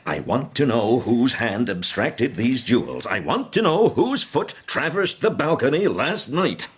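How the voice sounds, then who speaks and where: A man's voice: medium pitch, sing-song, unhurried, breathy edge, full character voice. An actor playing a stern, indignant middle-aged upper-class father, commanding and formal in a period detective radio drama. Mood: stern, pressing.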